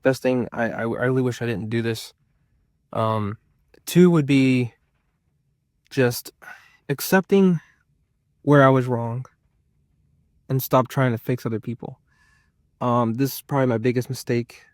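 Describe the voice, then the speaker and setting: A man's voice: mid-range voice, measured pace, pauses often, darkish tone, dry recording, clean studio sound. A man of about thirty chatting casually to his viewers as a vlogger, rambling and repeating himself for emphasis. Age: around 30. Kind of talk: casual personal chat to viewers.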